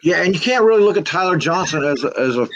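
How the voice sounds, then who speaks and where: A man's voice: fairly high pitch, lively intonation, quick pace, a bit bright, a little hiss or hum. A man on a sports panel, chatting casually over a dull, muffled microphone.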